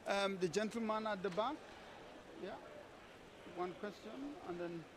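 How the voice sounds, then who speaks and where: A man's voice: high voice, measured pace, noisy background. A man moderating a panel's audience questions in short, directive, unscripted phrases. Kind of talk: brisk event moderation, unscripted.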